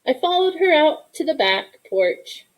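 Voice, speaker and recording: Higher-voiced woman reading a storybook. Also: sing-song, measured pace, poor audio. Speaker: storybook reader. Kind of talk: expressive children's read-aloud narration.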